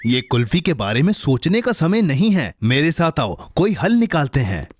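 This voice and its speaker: Animated, caring cartoon companion. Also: male voice, a little high, moderate pace, slight background noise. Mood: alarmed, scolding. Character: caring, sensible male companion of a cartoon boy. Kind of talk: children's cartoon dub.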